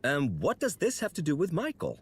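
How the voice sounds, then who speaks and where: An actor playing a curious young apprentice angel in a video game, informal and inquisitive. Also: male voice, on the high side, wildly swooping pitch, a little fast, husky, exaggerated voice. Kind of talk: video game character dialogue.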